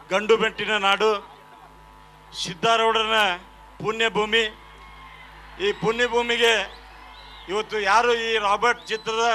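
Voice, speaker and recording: A man with a North Karnataka accent giving formal stage oratory, declaiming ceremonially phrase by phrase, over loud hum and noise. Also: high-pitched. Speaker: guest speaker at a film promotion event. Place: stage at a public event.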